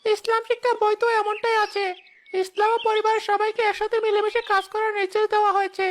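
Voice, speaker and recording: A woman's voice: very high voice, level tone, fast. A woman voicing an earnest, loyal young village child in a cartoon, with a pleading manner.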